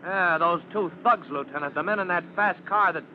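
A dull old radio recording with faint noise features a man acting an eager fireman. His voice is high, urgent and deferential, in vintage serial style. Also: moderate pace, lightly acted. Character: eager, alert fireman reporting to his superiors.

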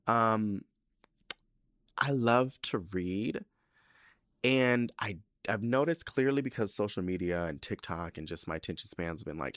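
A man in his thirties hosting his own podcast, talking casually in an animated, profane, rambling way. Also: fairly low pitch, dry recording, studio quality. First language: not Spanish. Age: 30s. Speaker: podcast host. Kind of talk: casual solo podcast talk.

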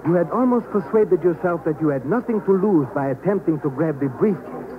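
An actor playing a coldly polite villain in a radio thriller, formal, precise and smug, on an old recording. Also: male voice, a little high, expressive, fairly quick, background noise, close to an everyday voice. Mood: smug, amused. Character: coldly polite, pale-eyed foreign bomber villain. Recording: tinny, phone-like vintage radio recording.

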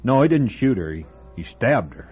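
An actor playing a chatty, folksy small-town diner owner who relishes telling a gruesome local story, in old-time radio drama style. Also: male voice, mid-range voice, sing-song, moderate pace, breathy edge. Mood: gossipy. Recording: phone-like old radio broadcast with faint music.

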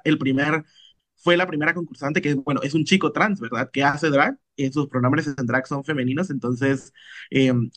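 A man's voice: mid-range voice, fairly bright tone, no echo. A man with a Guatemalan Spanish accent, a podcast guest explaining things conversationally and often checking for agreement. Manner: explanatory, often adding tag questions to check understanding. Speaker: podcast guest, drag artist.

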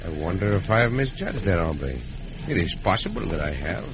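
A man's voice: deep voice, big pitch swings, music in the background. A man playing a courteous Mexican cowboy hero with a Mexican accent, in classic radio western style. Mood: warm, thoughtful. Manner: courteous, measured, formal phrasing. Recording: noisy old radio recording.

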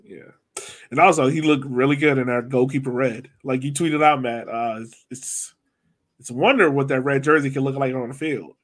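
A man's voice: mid-range voice, steady tone, normal speed, fairly bright tone. A man hosting a casual sports podcast, chatting playfully with his guests. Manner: playful, addresses guests as sir. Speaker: podcast host.

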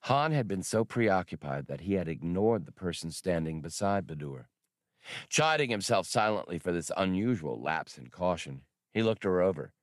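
A man's voice: low voice, very animated, normal speed, dry recording, clean studio sound. A man reading adventure fiction aloud as a scripted audiobook narration.

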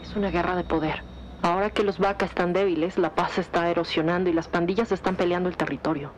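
A woman plays a grave, analytical female detective in an audio drama. Her voice is slightly low and matter-of-fact, with faint ambient noise around it. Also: fairly even intonation, fast talker, muffled recording, close to an everyday voice. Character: serious, analytical female detective briefing a colleague.

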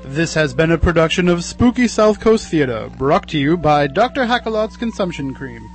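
An actor playing a sardonic, gleefully macabre narrator and announcer in old-time radio horror style, theatrical and wry. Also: male voice, a little high, sing-song, moderate pace, faint music behind. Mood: mock-solemn.

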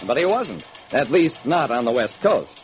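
A man's voice: mid-range voice, sing-song, normal speed, lightly acted. An actor playing a hard-boiled private detective, narrating his case with dramatic, wry deliberation in old-time radio style. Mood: brisk, businesslike. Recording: noisy old radio recording.

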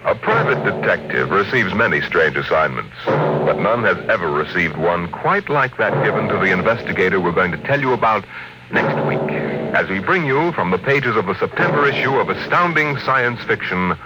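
A man's voice: fairly low pitch, lively intonation, moderate pace, over loud music, poor audio. A man hosting an old radio anthology, narrating in a dramatic, scripted 1950s style. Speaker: radio host. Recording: old radio broadcast recording.

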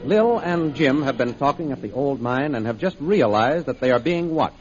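A man's voice: mid-range voice, animated, moderate pace. A man narrating and announcing a 1930s radio serial in a formal, declamatory scripted style. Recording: muffled vintage radio recording. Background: music.

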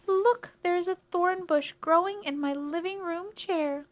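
A woman's voice: very high voice, unhurried, pitched above the performer's own voice. A woman voicing a fretful, sentimental old lady from a storybook, plaintive and exclamatory. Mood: tearful, fretful.

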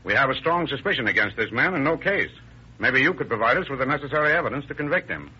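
An actor playing a shrewd, hard-nosed police inspector in an old-time radio crime drama, with clipped questioning. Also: male voice, mid-range voice, expressive, fast, sharp tone, breathy edge, full character voice. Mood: shrewd, businesslike. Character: brusque, shrewd male police inspector interrogating. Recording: dull vintage radio recording.

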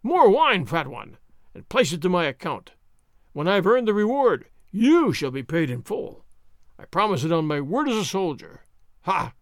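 A man voicing a loud, boastful, burly soldier sergeant who bellows and swaggers. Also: sits high, very animated, normal speed, no room sound, clean studio sound, full character voice. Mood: bellowing.

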